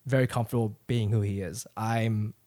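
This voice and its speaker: A man's voice: fairly low pitch, steady tone, normal speed, mellow. A man from Japan who grew up in Ohio, with an American accent, chatting candidly and profanely as a comedian guest on a casual podcast. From Japan, raised in Ohio from age eight. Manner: candid, profane, rambling storyteller.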